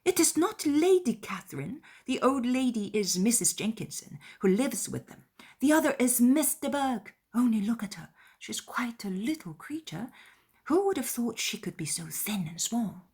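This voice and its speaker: A woman puts on an excitable young lady for a dramatized audiobook. Her voice sits high and breathy with agitation, and she gushes naively as she talks. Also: moderate pace, heavily acted. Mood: shocked, gossipy. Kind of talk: dramatized classic novel reading.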